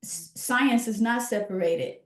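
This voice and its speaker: A woman co-hosting a scholarly podcast, talking reflectively and emphatically in a conversational way, often halting and repeating words for emphasis. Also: on the high side, echoey room, low-quality recording.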